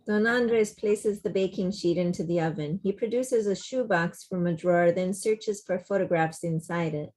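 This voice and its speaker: A woman's voice: fairly low pitch, moderate pace, hardly any pauses, darkish tone, low-quality recording. A woman reading stage directions as scripted narration, in a plain, descriptive delivery.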